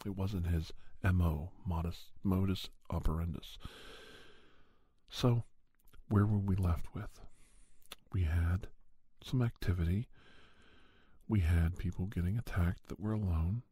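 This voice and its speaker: A man playing a paranormal investigator who recounts his cases in soft, breathy, halting first-person ASMR narration. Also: low-pitched, dry recording. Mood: calm, hushed, matter-of-fact. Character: male paranormal investigator doctor recounting monster cases, unhurried. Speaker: storyteller.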